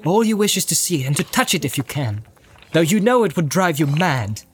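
A man voicing a stern, commanding hobbit in a storybook reading, speaking gravely and with firm emphasis. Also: high-pitched, very animated, fairly quick, pitched above the performer's own voice.

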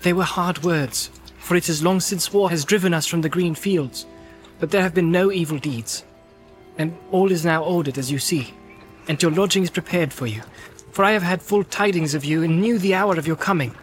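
A man's voice: a little high, a little slow, hardly any pauses, faint music behind, voice pushed up, natural voice. A man voicing a stern young noblewoman warrior who speaks with restrained sorrow. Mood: weary restraint.

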